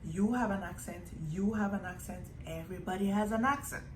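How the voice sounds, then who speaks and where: A woman's voice: mid-range voice, fairly quick. A young woman from Nigeria with a Nigerian accent, chatting casually to her vlog audience and acting out little quotes as she tells stories. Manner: chatty, animated storytelling with acted-out quotes. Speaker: vlogger. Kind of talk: casual vlog monologue to camera. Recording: echoey room with faint background noise.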